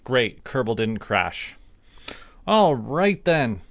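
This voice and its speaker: A man doing relaxed live game commentary, musing aloud in a halting, unhurried way. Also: medium pitch, dry recording. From Canada. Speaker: game streamer.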